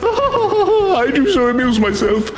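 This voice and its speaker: Give the shrill voice of a vain little fantasy-game schemer. It is very high and swoops wildly, gloating in florid, archaic phrases, with music underneath. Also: male voice, big pitch swings, slow pace, reverberant, exaggerated voice. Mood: smug.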